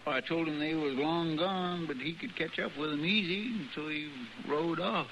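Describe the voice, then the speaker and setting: An American actor playing a fretful, deferential deputy with a folksy rural drawl, hesitant and rambling, in a radio western. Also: male voice, a little high, normal speed, natural voice. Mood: sheepish, fretful. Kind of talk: 1950s radio western acting. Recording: muffled, noisy old radio recording.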